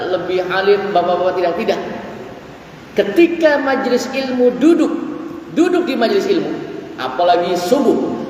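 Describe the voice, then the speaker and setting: A man's voice: high-pitched, darkish tone, slight background noise. A man in his forties giving a dawn sermon in a mosque, stretching out his phrases and reciting Arabic verses. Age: 40s. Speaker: preacher. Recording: echoey hall, voice from far off.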